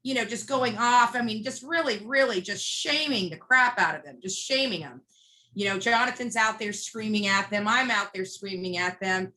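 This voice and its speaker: A woman's voice: medium pitch, normal speed, bright, forceful tone, low-quality recording. A woman being interviewed, telling a story casually in a blunt, emphatic way and acting out bits of dialogue as she narrates. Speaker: interview guest, street protester.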